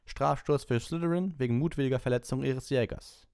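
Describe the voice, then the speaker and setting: A man's voice: mid-range voice, a little fast, darkish tone, clear, resonant tone, no room sound, clean studio sound, pitched above the performer's own voice, lightly acted. A man voicing a stern, commanding woman referee in a storybook, giving clipped, authoritative calls.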